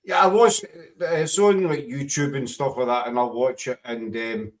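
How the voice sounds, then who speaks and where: A man's voice: mid-range voice, fairly even intonation, normal speed, recorded from a distance. A Scottish man hosting a casual panel chat, rambling and correcting himself as he talks. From Scotland. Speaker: host.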